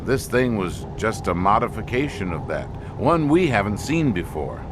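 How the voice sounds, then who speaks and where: Actor as a grave, low-voiced scientist, wide-ranging delivery. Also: male voice, sing-song, moderate pace, background noise. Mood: grave, explaining. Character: grave male expedition scientist explaining an alien menace. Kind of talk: audio drama acting.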